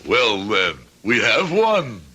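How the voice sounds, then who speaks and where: A man's voice: mid-range voice, huge pitch swings, takes it slowly, background noise. An actor playing a proud, stubborn older shoemaker and father, earnest and emphatic, in a radio drama. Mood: grave, surprised. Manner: earnest, emphatic, formal simple phrasing. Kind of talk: old-time radio drama acting.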